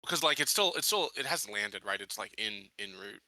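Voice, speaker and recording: Very bright-voiced man, hesitant tabletop player. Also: fairly low pitch, lively intonation, normal speed, no echo, poor audio. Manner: hesitant, informal, frequent "like". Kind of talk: casual game discussion.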